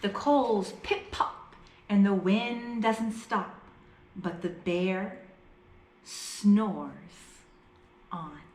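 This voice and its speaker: A woman reading a picture book aloud to young children, with deliberate, dramatized phrasing and drawn-out words. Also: mid-range voice, darkish tone, a little hiss or hum. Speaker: children's storyteller. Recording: echoey room.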